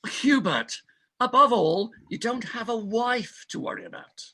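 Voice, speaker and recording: A man's voice: very high voice, animated, unhurried, bright, forceful tone, slightly rough, exaggerated voice. A man playing a desperate, insistent married doctor who cajoles a colleague in a stage farce. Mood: pressing, exasperated. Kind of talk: stage farce readers theater acting.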